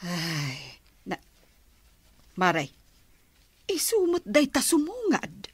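Slow, animated village gossip. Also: female voice, medium pitch, breathy edge, no room sound, heavily acted. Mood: disapproving. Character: disapproving gossipy village woman, unhurried. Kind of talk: Ilocano radio comedy drama acting.

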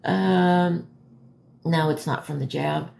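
A hoarse woman talks casually to her livestream viewers, stopping and starting as she explains. Her voice sits a little low and crackles with fry. The phone is a step away in a roomy, echoing home space. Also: fairly even intonation, slow pace, darkish tone. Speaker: livestream host. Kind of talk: casual livestream chat answering viewer comments. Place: dim living room at home, indoors. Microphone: phone propped a step or two away. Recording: echoey room, phone at a distance.

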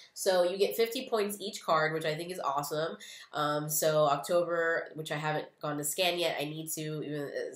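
A woman's voice: fairly low pitch, reverberant. A young woman in her twenties with a stuffy, nasal voice from a cold, chatting casually and rambling through a beauty box unboxing, recorded on a webcam in a small room. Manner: chatty, rambling, many "um" and "like" fillers. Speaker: beauty vlogger.

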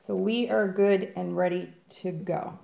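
A woman's voice: on the low side, steady tone, unhurried, vocal fry, echoey room, over music. A woman hosting a fluid art channel, chatting casually and upbeat to viewers while she mixes paint. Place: home art studio, indoors.

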